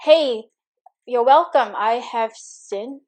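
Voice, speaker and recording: A woman with a Singaporean accent runs a live coaching webinar in a somewhat high voice. She talks in a chatty, encouraging way, full of fillers, and greets viewers by reading their comments aloud. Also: slow pace, darkish tone. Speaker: money coach.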